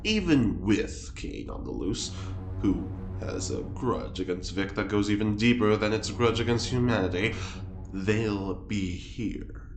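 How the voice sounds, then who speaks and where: An actor playing a flamboyant, teasing friend in an audio drama, playful and dramatic. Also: male voice, on the low side, lively intonation, a little slow, over music. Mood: reassuring. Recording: echoey, noisy ambience behind.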